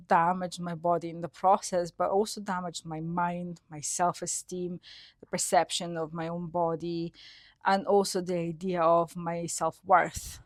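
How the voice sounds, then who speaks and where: A woman from Slovenia, a nutrition specialist, telling her story in an interview with lively swings and frequent laughs. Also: fairly low pitch, no room sound.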